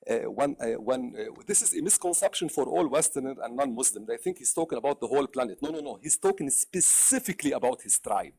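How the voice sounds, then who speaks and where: A man with an Arabic accent and Arabic as his first language, calling into a live debate, talking haltingly with many fillers and repeated words. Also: medium pitch, animated, creaky, darkish tone, breathy edge. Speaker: caller.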